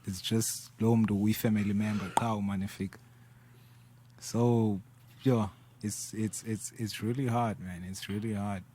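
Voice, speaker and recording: Brief: guest, man, low voice, halting, relaxed, mixes isiXhosa. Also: slight background noise. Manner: laid-back, halting, code-switching into isiXhosa. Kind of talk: casual conversation.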